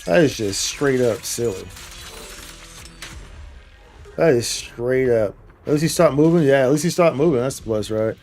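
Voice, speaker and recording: A man's voice: mid-range voice, animated, fairly quick, darkish tone, faint music behind. A man streaming a game with casual, slangy live commentary, often repeating his own phrases.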